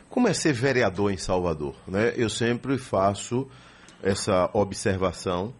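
A man hosting an interview show, chatty and quick with tag questions. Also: on the low side, breathy edge. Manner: lively introductions, chatty, tags questions with "né". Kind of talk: radio-style interview hosting.

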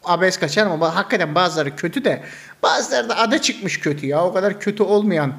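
A man with a notably high voice hosts a casual podcast. He talks informally, in the loose style of everyday conversation. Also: animated, quick pace, creaky, a bit bright. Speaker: podcast host.

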